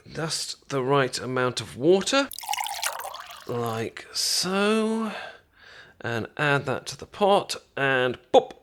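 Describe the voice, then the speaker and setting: British male, unhurried and stammering, acting an eager, chatty best friend for ASMR roleplay. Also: mid-range voice, a bit bright, exaggerated voice. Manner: halting, stammering, rambling. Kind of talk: ASMR roleplay, casual chat.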